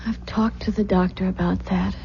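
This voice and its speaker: An actress playing a tender, weary, secretly fearful young wife in an old-time radio drama, speaking softly and hesitantly. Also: female voice, mid-range voice, fairly even intonation, normal speed, creaky, lightly acted. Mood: weary, guarded. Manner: hesitant, guarded, soft-spoken. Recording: muffled, noisy vintage radio recording.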